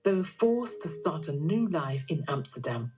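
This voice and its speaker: A woman's voice: low voice, sing-song, normal speed. A woman delivering scripted educational narration as a narrator. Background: music.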